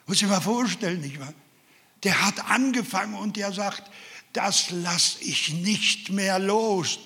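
Older male preacher, slow and earnest, slightly high voice, reverberant hall. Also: sharp tone. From Carpathian Ukraine. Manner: earnest, emphatic, addresses listeners directly. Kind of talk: sermon.

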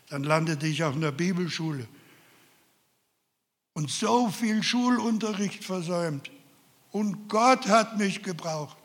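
A man's voice: fairly high pitch, a bit bright, in a large hall. An older man preaching a sermon, earnest and emphatic, speaking directly to his listeners. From Carpathian Ukraine.

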